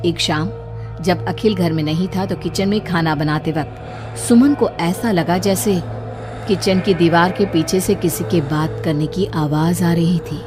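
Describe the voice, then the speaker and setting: A woman's voice: fairly low pitch, unhurried, music in the background. A woman reading scripted narration for a horror story as its narrator.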